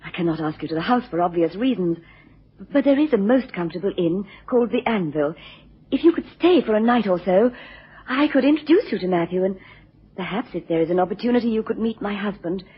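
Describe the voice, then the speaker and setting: Breathy, husky, frightened genteel wife. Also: female voice, mid-range voice, expressive, quick pace. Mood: anxious, beseeching. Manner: hesitant, pleading, genteel. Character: distressed, frightened, genteel wife seeking help. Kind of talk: radio drama acting. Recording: muffled lo-fi radio recording.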